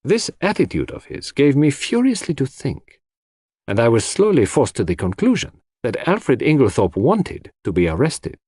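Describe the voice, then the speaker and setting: A shrewd foreign detective in a mystery audiobook, acted out by a male narrator, lecturing with great self-assurance. The voice is husky and theatrical, leaping up and down as he patiently lays out his reasoning, in a dry recording. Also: medium pitch, huge pitch swings, moderate pace, a little mellow, no echo. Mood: explaining, assured. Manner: theatrical, didactic, sprinkles French phrases.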